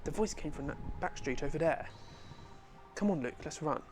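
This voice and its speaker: A man voicing a calm, polite gentleman professor with formal, precise speech, for a fan voice-over of a video game. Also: a little high, faint music behind, lightly acted. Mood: composed. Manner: formal, measured, precise wording.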